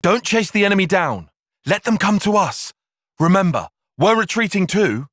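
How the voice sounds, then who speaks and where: An actor playing a bookish young male soldier-tactician in a video game, with a British accent and a measured, formal, dry way of speaking. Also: high-pitched, sing-song, fairly bright tone, no echo, full character voice. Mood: urgent, tense. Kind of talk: video game cutscene voice acting.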